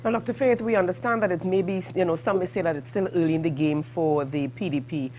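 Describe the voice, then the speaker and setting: A woman hosting a morning show, putting questions in a halting, rephrasing way. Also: fairly low pitch, darkish tone, slight background noise. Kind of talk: broadcast interview questioning.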